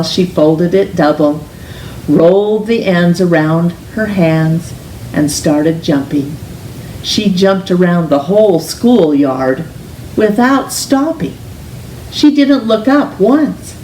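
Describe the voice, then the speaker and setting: A woman reading a children's picture book aloud in an expressive, gentle, deliberate storytelling manner, with drawn-out emphasis on words. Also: on the low side. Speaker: storytime reader, teacher. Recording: roomy, distant mic with faint hiss.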